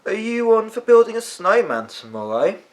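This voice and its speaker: A man's voice: sits high, big pitch swings, moderate pace, voice pushed up. A man voicing a small, nervous schoolboy with a plaintive manner in a children's storybook. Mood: hopeful. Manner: anxious, plaintive. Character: small anxious nervous schoolboy, eager friend.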